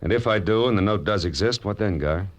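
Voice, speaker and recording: An actor playing a wry, world-weary private detective in a 1940s radio drama, dry, hard-boiled and wisecracking. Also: male voice, on the low side, animated, quick pace, close to an everyday voice. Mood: dry, probing. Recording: dull vintage radio recording with music.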